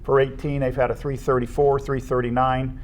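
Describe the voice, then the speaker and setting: American man talking sports over rumbling noise. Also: little pitch movement, normal speed, darkish tone. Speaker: co-host of a school athletics show. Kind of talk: conversational sports discussion.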